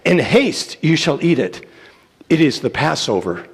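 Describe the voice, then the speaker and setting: A man giving a solemn, declamatory dramatic reading of scripture in deliberate phrases. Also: fairly high pitch, sing-song, gravelly, a little hiss or hum. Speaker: church reader reciting scripture. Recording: echoey hall with long reverb.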